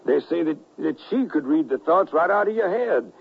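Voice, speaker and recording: An actor playing a gruff, stubborn western colonel in a radio drama, with husky, rough frontier speech that halts when he is troubled. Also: fairly high pitch, expressive, normal speed, heavily acted. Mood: stubborn, determined. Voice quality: gravelly, husky. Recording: dull lo-fi radio recording.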